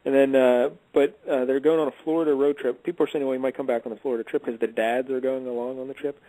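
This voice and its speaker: A man hosting a casual radio chat show, briskly steering the talk with questions. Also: medium pitch, flat delivery, dark tone, no room sound, low-quality recording. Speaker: host.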